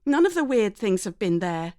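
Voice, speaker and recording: A woman reading a first-person story aloud in an expressive, dramatic way. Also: mid-range voice, moderate pace, dry recording, studio quality. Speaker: story reader.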